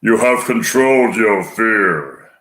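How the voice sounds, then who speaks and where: A man voicing a sinister dark lord from a film, slow and menacing. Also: fairly low pitch, animated. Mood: menacing, commanding. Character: sinister dark lord goading his foe. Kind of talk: movie villain line reading. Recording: roomy, echoing space.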